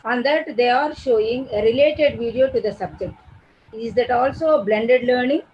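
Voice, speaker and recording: A woman's voice: on the high side, normal speed, muffled recording. A woman with an Indian English accent moderating an online conference, relaying questions politely to the speaker.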